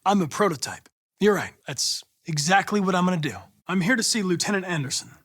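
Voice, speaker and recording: A man's voice: high-pitched, animated, a little fast, fairly bright tone, breathy edge, exaggerated voice. A man playing a polite, precise young male android detective in a dramatic video game. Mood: calm, formal. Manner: precise, formal, clipped. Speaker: police investigator android.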